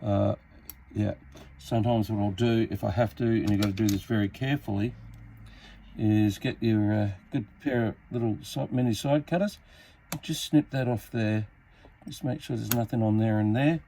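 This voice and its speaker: An Australian man with a fairly low voice explains a hands-on job step by step. His delivery is casual and unscripted, with halting phrasing as he works. The homemade indoor recording carries some faint noise. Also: warm tone. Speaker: hobbyist demonstrating a radio repair. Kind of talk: casual hands-on tutorial, talking while working. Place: indoors at a repair workbench. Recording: clearly noisy amateur workbench recording.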